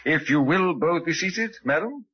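An actor playing a courteous, shrewd police inspector in an old radio drama, formal and probing in manner. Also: male voice, on the high side, animated, measured pace, background noise. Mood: polite, probing. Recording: dull old radio recording.

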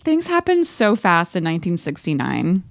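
A woman's voice: lowish voice, wildly swooping pitch, moderate pace, vocal fry, no room sound. Playful woman podcaster, dry close sound. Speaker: podcast co-host. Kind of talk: casual chat with dramatic diary readings.

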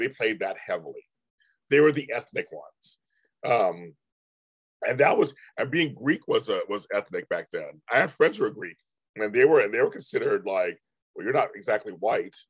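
Hoarse guy rambling on his live stream, husky voice, keeps restarting sentences. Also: medium pitch. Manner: rambling, repeats himself, many restarts. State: hoarse, voice going out. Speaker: livestream host. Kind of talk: casual live-stream chat.

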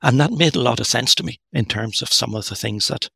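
A middle-aged man talks through his ideas in a thoughtful, halting way, often restarting his sentences. His voice is very gravelly and a little husky, with a bright, forceful edge. The recording is close and dry. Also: medium pitch, no echo. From Northern Ireland. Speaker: guest author. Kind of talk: podcast interview.

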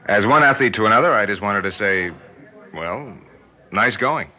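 An actor playing an affable, modest pro golfer in a 1960s radio drama, talking in a casual, folksy way. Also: male voice, on the low side, expressive, moderate pace. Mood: easygoing, protesting. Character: affable, modest male pro golfer, mildly old-fashioned. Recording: muffled 1960s radio recording.

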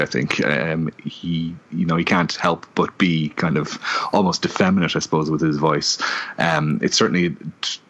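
A man co-hosting a podcast, casually reviewing a film with lots of ums and pauses. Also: deep voice. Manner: relaxed, many ums and hesitations. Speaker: podcast co-host. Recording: dull lo-fi audio.

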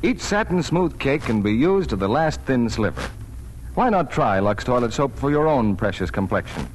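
A male commercial announcer in old-time radio style. His medium-pitched voice moves with lively, selling intonation, and there is faint noise on the vintage recording. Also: moderate pace, rough recording. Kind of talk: 1940s radio advertising.

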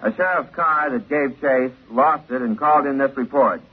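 A man playing a serious highway patrol officer reading a formal bulletin in a 1950s radio drama. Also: moderate pace, husky, lightly acted. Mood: official, serious. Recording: muffled, tinny old radio recording.

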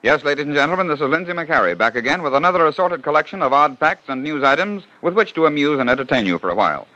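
A man narrates odd news stories like a genial showman from an old radio program. His voice is bright and clear-cut, and the recording sounds dull and muffled. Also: fairly high pitch, big pitch swings, fast talker. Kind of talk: scripted 1940s radio narration.

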